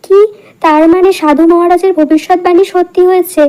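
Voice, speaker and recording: A woman's voice: extremely high pitch, fairly even intonation, fairly quick, warm tone. A woman playing a middle-aged village wife and scheming mother-in-law in a cartoon. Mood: dismayed. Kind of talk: Bengali moral-tale cartoon dubbing.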